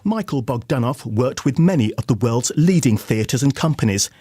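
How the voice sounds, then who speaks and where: A man delivers a scripted arts report for radio news. His voice sits at a middle pitch, and the recording is dry and close. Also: animated, moderate pace, warm tone, breathy edge, no room sound. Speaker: arts correspondent.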